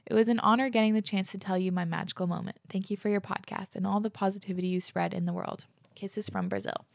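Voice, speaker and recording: A young woman hosts a podcast, reading listener letters aloud and then chatting about them loosely, full of "like" and the occasional swear word. Her voice has a gravelly, fry-tinged edge and sits at an everyday middle pitch. The sound is close and dry, with no room around it. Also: animated, fast, no room sound. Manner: reads listener messages aloud, then reacts candidly with swearing and "like" fillers. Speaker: podcast host.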